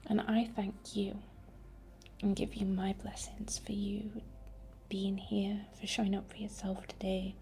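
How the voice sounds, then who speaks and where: A woman whispering softly and soothingly in an unhurried ASMR guided meditation, leading a healing session. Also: medium pitch, completely flat delivery.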